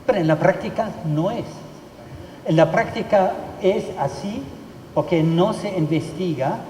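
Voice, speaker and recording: A man gives a formal talk on law in a large hall. He speaks slowly in a fairly high voice, pausing often on fillers and restarting phrases. The sound is roomy, with a long echo and faint room noise behind it. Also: darkish tone. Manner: halting, many fillers and restarts. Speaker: legal expert speaker.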